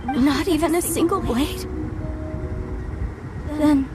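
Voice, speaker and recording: A woman's voice: high voice, takes it slowly, over loud music. An actress playing a fervent, devout young woman in a video game, emphatic and easily distraught. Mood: distraught, disbelieving. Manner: indignant, emphatic, pleading. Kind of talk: dramatic video game dialogue.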